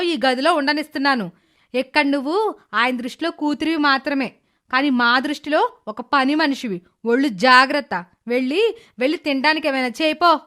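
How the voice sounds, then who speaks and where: A woman playing a cruel, scheming middle-aged stepmother in an animated moral story, scornful and sarcastic. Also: sits high. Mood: scornful, bossy.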